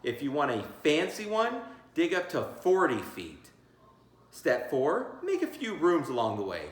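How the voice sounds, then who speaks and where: A man reading a children's book aloud as a teacher, theatrical and encouraging, breaking off for chatty asides and questions. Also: fairly high pitch. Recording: echoey room.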